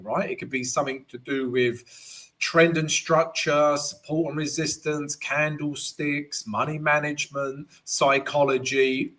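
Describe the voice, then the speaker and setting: A man with a croaky voice, hosting a live trading analysis stream in a chatty way, often calling viewers guys and tagging lines with "right?". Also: medium pitch, fairly bright tone.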